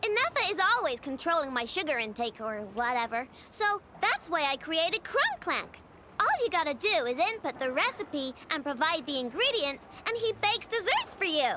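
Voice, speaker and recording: A woman voicing a feisty, indignant little girl inventor in an animated video game. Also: sits high, moderate pace, slight background noise, full character voice. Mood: earnest. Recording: loud game music behind.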